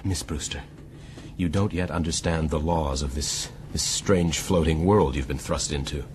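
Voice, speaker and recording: An actor playing a grim, educated gentleman held as a sailor, speaking formally and deliberately in a radio drama. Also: a bass voice, slight background noise. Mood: defensive.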